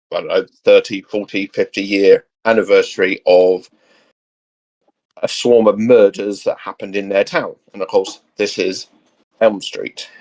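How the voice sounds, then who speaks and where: A man's voice: fairly low pitch, a little mellow, low-quality recording. A man chatting casually as a guest co-host, explaining ideas off the cuff in a hesitant, meandering way.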